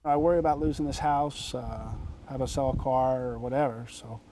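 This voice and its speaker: A man's voice: medium pitch, moderate pace, dark tone. A man, a salesman talking conversationally. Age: 50s. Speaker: job-seeking salesman. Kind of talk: conversational, interview. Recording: noisy urban location sound.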